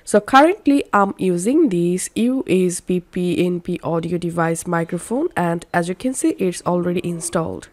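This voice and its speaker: A woman narrating a software tutorial, giving step-by-step instructions. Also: lowish voice, animated, normal speed, few pauses.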